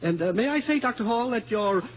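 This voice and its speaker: An actor playing a blustery, jovial, self-satisfied guest of honor with clipped, repetitive phrasing, in 1950s radio style. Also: male voice, sits high, lively intonation, brisk. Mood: jovial, pleased. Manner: clipped, chuckling, repeating himself. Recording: muffled, noisy old radio broadcast.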